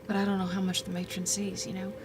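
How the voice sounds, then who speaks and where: A woman playing an earnest, soft-hearted young sorceress in tabletop roleplay, speaking in hesitant, searching phrases. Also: medium pitch, monotone, fast, noisy background, natural voice. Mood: troubled, earnest. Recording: music playing behind the voice.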